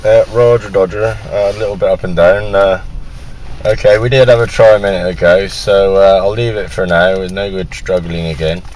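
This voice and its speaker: A man's voice: sits low, fairly even intonation, normal speed, a little mellow, a little hiss or hum, rough recording. A man making casual amateur radio contacts, working down a list in radio jargon. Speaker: radio operator, video maker.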